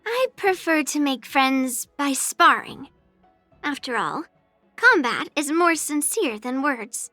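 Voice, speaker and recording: A woman's voice: high voice, sing-song, takes it slowly, pushed and bright, dry recording, faint music behind, exaggerated voice. A woman playing a brash, earnest young swordswoman in a game, blunt but girlish, speaking in a declarative, matter-of-fact way. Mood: casual, musing. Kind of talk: scripted game character voice lines.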